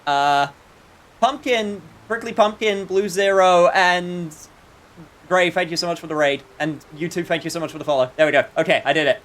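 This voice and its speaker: A man's voice: sits high, normal speed, a bit bright. A man livestreaming a video game with casual chat and wry, joking, sometimes sweary commentary.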